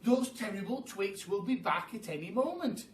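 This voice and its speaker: A man voicing an excitable monkey father who barks impatient orders in a children's storybook reading. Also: high-pitched, normal speed, pitched above the performer's own voice. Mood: impatient, urging. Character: bossy, frantic monkey father leading a scheme. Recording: roomy, distant mic with faint noise.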